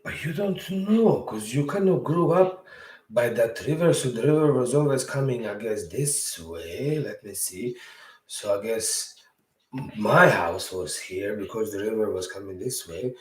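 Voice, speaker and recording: A man's voice: on the low side, animated, a little slow, reverberant, recorded from a distance, low-quality recording. A man from Bosnia whose first language is Serbo-Croatian, telling a story at home in a rambling, casual way, correcting himself and chuckling as he goes. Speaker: podcast host. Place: room at home.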